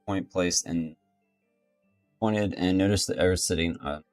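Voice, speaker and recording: A man's voice: low-pitched, unhurried, soft background music. A man giving casual let's play commentary and reading game text aloud as he goes. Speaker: gaming YouTuber.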